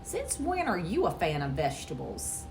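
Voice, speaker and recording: A woman's voice: sits low, wildly swooping pitch, moderate pace, gravelly, a little mellow, slight background noise, voice pushed down, heavily acted. A woman voicing an exasperated, long-suffering mother of rowdy boys in a storybook, weary and disapproving. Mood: suspicious.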